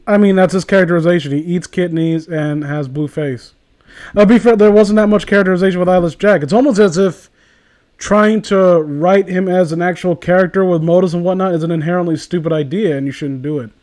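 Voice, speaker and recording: High-voiced sarcastic man riffing casually. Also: brisk, a little mellow. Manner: sarcastic, profane. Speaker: co-host reading and mocking fan fiction. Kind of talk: casual comedic riffing and read-aloud.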